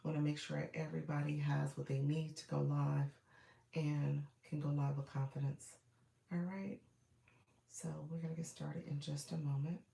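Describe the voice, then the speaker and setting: A woman with a cold speaks in a low, gravelly, froggy voice, congested and clearing her throat now and then. She chats casually with live viewers as a streaming coach, repeating her welcomes and filling pauses. Her voice carries a roomy echo. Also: flat delivery, mellow. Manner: chatty, repetitive welcomes with frequent fillers and throat-clearing.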